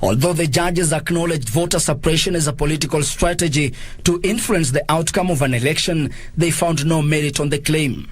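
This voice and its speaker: Higher-pitched Kenyan male news reporter. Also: a little fast, breathy edge, no echo. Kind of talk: scripted news report narration.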